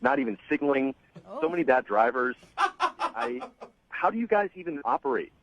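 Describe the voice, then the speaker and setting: A man on a phone call to a radio show, speaking hesitantly with many pauses, restarts and "um"s. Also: a little high, sing-song, creaky, fairly bright tone. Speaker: caller on the phone. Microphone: telephone. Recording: muffled phone line.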